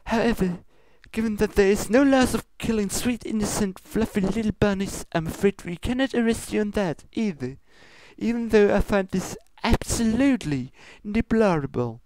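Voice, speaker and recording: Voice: medium pitch, lively intonation, moderate pace, few pauses, a little mellow, a little hiss or hum, pitched above the performer's own voice, close to an everyday voice, low-quality recording. A reader voicing a stern, regal pony princess who speaks in a pompous, formal, scolding manner for a comedic fan fiction story. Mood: stern, official.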